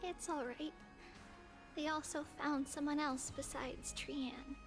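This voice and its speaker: An actress playing a gentle, thoughtful young woman in a game, speaking in a soft, measured way. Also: high-pitched, little pitch movement, over music. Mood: somber.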